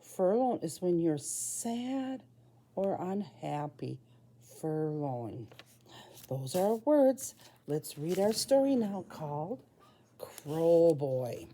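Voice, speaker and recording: A woman with a slightly low, soft-edged and mellow voice reads a storybook to young children. She is a preschool teacher, and she phrases things simply and deliberately, in short chunks that small listeners can follow. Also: very animated.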